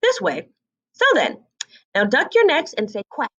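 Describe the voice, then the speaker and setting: A woman's voice: high voice, very animated, unhurried, vocal fry, fairly bright tone, far from the mic, muffled recording, voice pushed up, exaggerated voice. A woman voicing a proud, weary, bossy mother duck in a storybook reading, exclaiming and chatting as she instructs.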